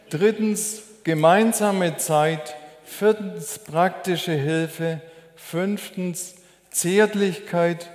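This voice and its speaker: A man preaching a sermon as a preacher, in a measured, deliberate, explanatory way. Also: fairly high pitch, a little mellow. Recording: echoey hall with long reverb.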